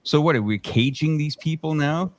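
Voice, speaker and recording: A man's voice: fairly high pitch, moderate pace, no room sound. Emphatic man giving political commentary. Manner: emphatic, rhetorical questions, acts out imagined exchanges. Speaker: political commentator on a livestream panel.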